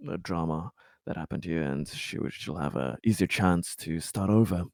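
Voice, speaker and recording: Halting low-voiced male tabletop player. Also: gravelly, a little mellow, no echo, studio quality. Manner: halting, hesitant. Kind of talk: casual tabletop game talk.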